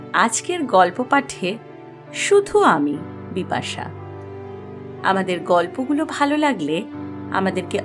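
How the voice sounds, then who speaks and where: A woman narrating a scripted story as its host, in an expressive storytelling style with music underneath. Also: on the high side.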